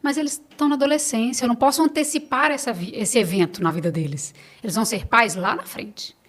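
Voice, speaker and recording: A Brazilian woman with a slightly high voice speaks as a guest in a casual conversation. She talks in a personal, informal way and often repeats phrases to stress a point. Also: fast. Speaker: podcast guest, business owner.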